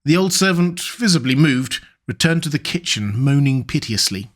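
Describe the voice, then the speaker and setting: Measured male audiobook narrator, higher voice. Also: expressive, fairly bright tone. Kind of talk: scripted narration of a classic novel.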